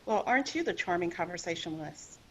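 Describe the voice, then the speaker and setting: A woman's voice: on the low side, expressive, quick pace, highs cut off, a little hiss or hum, close to an everyday voice. A woman voicing a smart-mouthed fifteen-year-old girl in a table read, teasing and sarcastic.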